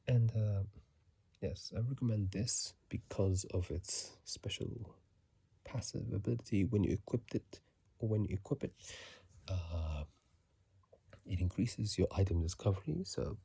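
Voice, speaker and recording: A man whispering casual ASMR game commentary in a hushed, breathy voice, close to a phone microphone. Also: sits low, no room sound. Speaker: ASMR video host.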